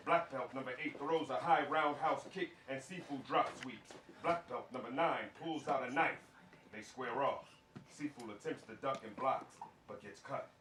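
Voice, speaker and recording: A man's voice: mid-range voice, fairly bright tone. A man reading scripted stage directions for fight action aloud to a room. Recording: echoey room, distant mic, clear noise.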